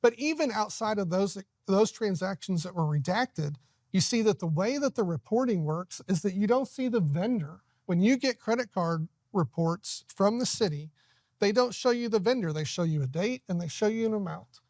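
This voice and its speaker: A man's voice: high-pitched, expressive, moderate pace, a little mellow, no room sound. A man speaking as a city council candidate in an earnest TV interview, often restarting his sentences. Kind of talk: TV studio interview.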